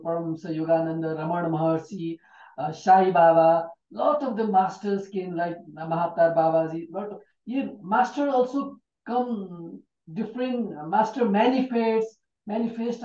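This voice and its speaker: Male host, dark voice, halting questions, far from the mic, echoey room. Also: high-pitched. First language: Nepali. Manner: halting, fragmented non-native English. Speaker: interview host. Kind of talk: casual interview questioning. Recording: muffled, distant, echoey room.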